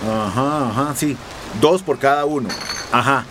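A man's voice: mid-range voice, a little slow, noisy background, close to an everyday voice. A man playing a shady, bossy and evasive people smuggler in a radio soap. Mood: businesslike.

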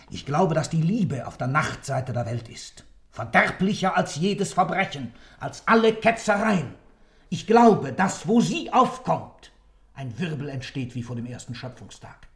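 Impassioned guy defending his dark creed, radio play, mid pitch, very declamatory. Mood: fervent. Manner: rhetorical, fervent, declamatory. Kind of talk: German radio play acting.

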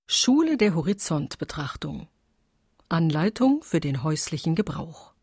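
A woman playing the narrator of an instruction recording in a radio play, reading steps in a measured, matter-of-fact way. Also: sits low, sing-song, darkish tone, husky, heavily acted. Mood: calm, instructive. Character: calm, exact female narrator of an instruction recording. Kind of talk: scripted step-by-step instructions.

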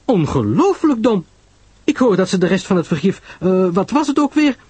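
A man's voice: high voice, very animated, normal speed, a little mellow, husky, no echo, pitched above the performer's own voice, heavily acted. A man voicing an emphatic, reproachful young society woman in a mystery audio drama. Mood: indignant. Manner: emphatic, exclaiming.

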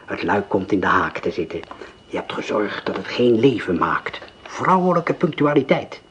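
Low-voiced cold gang boss, calm orders, faint hiss behind. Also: male voice, normal speed, dull audio. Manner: calm, precise, giving orders. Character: cold male gang leader, commanding. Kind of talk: radio drama acting.

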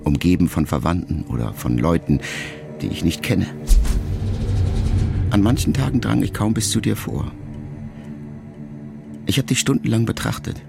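A man reads a letter as narration, his voice very low with a gravelly fry at the edges. He is hushed and reflective, and his phrases sink softly at their ends. Music plays clearly beneath him. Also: normal speed, pauses often. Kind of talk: scripted literary narration in a radio production.

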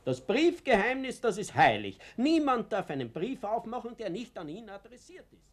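A man's voice: high-pitched, big pitch swings, normal speed. An actor playing a naive, self-important elderly Viennese man in a radio drama, speaking insistently in an Austrian accent. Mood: indignant, insistent.

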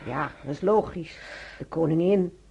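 An actress playing a chatty, opinionated middle-aged hospital roommate in a radio drama, insistent and self-assured, with a husky, mellow voice. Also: female voice, sits low, moderate pace, slight background noise. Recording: slightly dull radio play recording.

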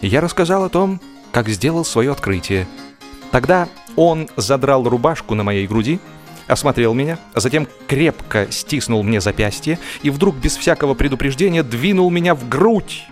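A man narrating a humorous first-person story in a theatrical, expressive audio-drama style. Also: medium pitch, moderate pace. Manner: expressive, acting out comic hesitations and dialogue. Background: music.

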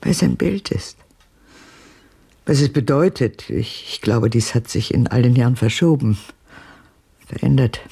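A haunted elderly woman in a radio play, with a very husky, gravelly voice. She is wistful and reflective, speaking in halting phrases as she looks back. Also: a bass voice, lively intonation, mellow, full character voice. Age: older. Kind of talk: German radio drama acting.

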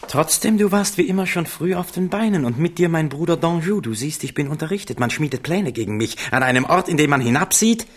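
A man's voice: on the high side, animated, brisk, few pauses, natural voice. An actor playing a young, suspicious, unstable king in a German radio drama, agitated and petulant.

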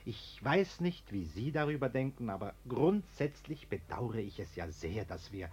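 An actor playing an anxious, ingratiating middle-aged homeowner in a German radio play, over-polite, hesitant and falsely jovial. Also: male voice, medium pitch, big pitch swings, normal speed, darkish tone, slightly rough. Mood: earnest, chummy. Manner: hesitant, over-polite, chummy, nervous chuckling.

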